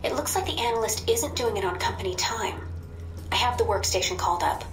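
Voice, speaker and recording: An actress playing a composed, wry intelligence handler in a video game, with a measured, matter-of-fact manner. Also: female voice, medium pitch, animated, close to an everyday voice. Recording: roomy sound with music behind.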